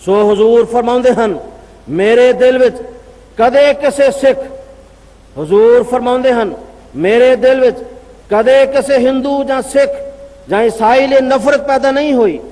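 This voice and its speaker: A Punjabi-accented man delivers a formal religious address with a strikingly high voice. He speaks in measured, declaiming phrases and stops now and then to cite his sources. A long reverb trails each line through the large hall. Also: mellow. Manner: declaiming with long pauses, reading out citations. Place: convention gathering.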